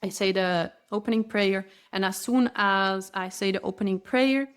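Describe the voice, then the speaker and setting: A woman's voice: mid-range voice, moderate pace, clear, resonant tone. A woman whose first language is Spanish, a spiritual guide as a podcast guest, explaining her practice earnestly and flowingly, often restarting her phrases. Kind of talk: podcast interview.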